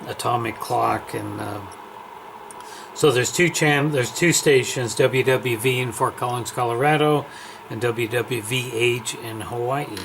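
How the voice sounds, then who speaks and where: A man giving a casual, unscripted hands-on product review, thinking aloud as he works indoors at home. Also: medium pitch. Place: inside a house, under a kitchen-type cupboard. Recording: clear radio static and background hiss.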